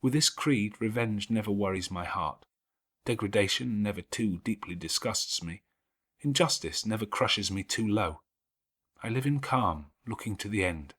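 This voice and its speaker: A man voicing a calm, pious, forgiving schoolgirl in an audiobook, speaking in measured, earnest, formal Victorian phrases. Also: lowish voice, husky. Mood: serene, devout. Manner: measured, gently preaching, formal Victorian diction. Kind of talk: audiobook character acting.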